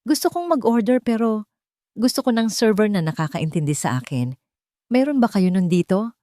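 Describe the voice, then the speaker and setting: A woman's voice: mid-range voice, expressive, moderate pace, mellow, no echo, studio quality, pitched above the performer's own voice, natural voice. A woman with a Filipino accent voicing an arrogant, cruel rich man in an audio story, mocking and condescending. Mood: scornful.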